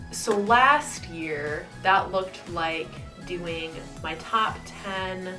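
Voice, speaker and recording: A woman's voice: fairly low pitch, fairly bright tone, recorded from a distance. Congested, chatty woman in an echoey room. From US Midwest. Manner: chatty, enthusiastic, rambling with fillers. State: congested, nasal from a winter cold. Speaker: model horse collector and channel host. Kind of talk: casual vlog talk to camera. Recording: echoey room, voice a bit off-mic, faint music.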